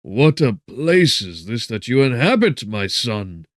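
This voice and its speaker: A man's voice: mid-range voice, very animated, measured pace, dry recording, studio quality, pitched above the performer's own voice. A man voicing a mournful, loving father in an audiobook, sorrowful and formal. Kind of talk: audiobook character acting.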